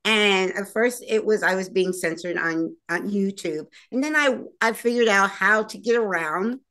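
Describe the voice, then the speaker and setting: A woman's voice: mid-range voice, normal speed, fairly bright tone. A woman hosting and producing her own talk show, chatting casually with many fillers and restarts. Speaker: host and producer. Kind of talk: casual interview chat.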